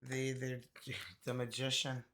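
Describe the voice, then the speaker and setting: A hoarse man from Canada with a raspy voice, chatting casually through a tarot card reading with many fillers. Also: medium pitch, little pitch movement, slow pace, a bit bright. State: hoarse, recovering from illness. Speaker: tarot reader.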